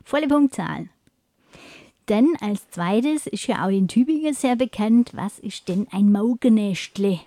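A woman's voice: medium pitch, lively intonation, a little slow, warm tone, no room sound. A woman interviewing a guest in a friendly, chatty way. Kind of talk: casual interview chat.

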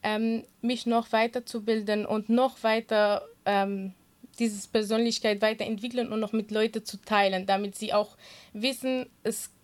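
A woman whose first language is Kurdish, giving earnest, conversational interview answers in non-native German as a guest. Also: a little high. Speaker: interview guest, swimming teacher and social media creator.

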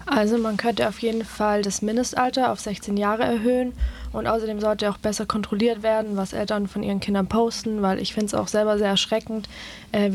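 A woman's voice: medium pitch, vocal fry, a little hiss or hum. A twenty-year-old woman, a student panelist, giving her opinion in a conversational way. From Germany.

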